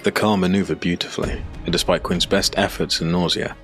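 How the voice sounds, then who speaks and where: A man reads dark fantasy narration for an audio drama. His voice is deep and low, and he tells the story from a script with music playing faintly underneath. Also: fairly even intonation, brisk.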